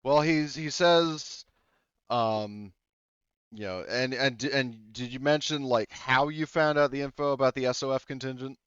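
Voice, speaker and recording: A man's voice: medium pitch, unhurried, a bit bright, clean tone, no echo, highs cut off. A man running a tabletop game as game master, rambling and thinking aloud with many fillers.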